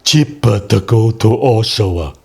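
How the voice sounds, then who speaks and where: A man putting on a harsh, clipped Cantonese-accented English voice, enunciating clearly and not through the nose. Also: on the low side, expressive, unhurried, pitched above the performer's own voice, heavily acted. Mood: confrontational. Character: mock Cantonese-accented older Chinese man, harsh, blunt.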